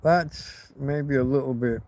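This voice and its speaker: A man's voice: mid-range voice, slow pace, darkish tone. A man hosting a rambling live stream, chatting loosely to his viewers and restarting sentences, with a slight cough. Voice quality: slight cough, throat tickle. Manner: meandering, restarts often, chats to viewers. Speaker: livestream host.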